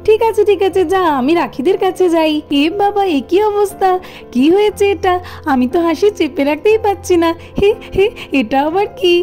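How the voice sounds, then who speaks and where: A woman's voice: very high voice, animated, moderate pace, dark tone, soft background music. A woman voicing a mischievous young boy in a cartoon, who keeps breaking into giggles. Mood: giggly. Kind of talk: cartoon voice acting.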